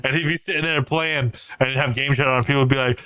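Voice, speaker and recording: A deep-voiced man co-hosting a podcast, animated and crude, laughing often. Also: quick pace, clean tone, no room sound. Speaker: podcast co-host. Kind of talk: casual chat.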